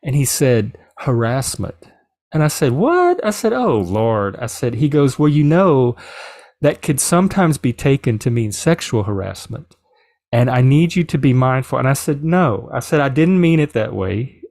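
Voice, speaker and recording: A middle-aged man from South Louisiana with a Cajun English accent, telling a personal story in a casual, animated way. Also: medium pitch, normal speed, dry recording, studio quality. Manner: animated, quoting others in reported dialogue. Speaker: podcast host.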